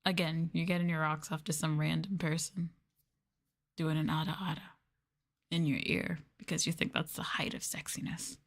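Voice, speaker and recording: A woman's voice: low-pitched, little pitch movement, normal speed. A woman livestreaming an ASMR roleplay, talking down to her viewers in a teasing, sultry, condescending way. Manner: teasing, condescending, mock-sadistic dominance.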